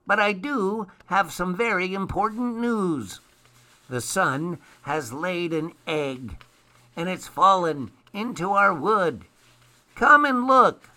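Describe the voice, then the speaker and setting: A man voicing an excitable little storybook elf, earnest and protective, full of exclamations. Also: high-pitched, takes it slowly, pitched above the performer's own voice, heavily acted. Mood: excited news.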